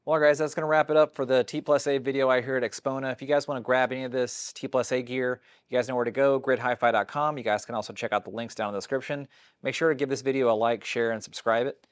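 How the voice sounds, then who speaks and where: A man's voice: mid-range voice, fairly even intonation, fast talker, smooth, no echo, studio quality. A man speaking casually and directly to viewers as the host of a video.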